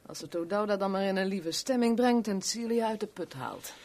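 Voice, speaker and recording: A woman's voice: mid-range voice, a little fast, darkish tone, close to an everyday voice. An actress playing a worldly, sardonic kept woman in a 1970s radio play, with a wry, teasing, blunt way of talking. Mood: teasing, probing.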